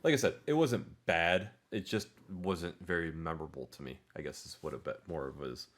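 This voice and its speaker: A man's voice: low-pitched, a little fast. A man co-hosting a podcast, explaining his opinion casually and hesitantly, qualifying as he goes. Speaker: podcast co-host. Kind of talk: casual movie discussion.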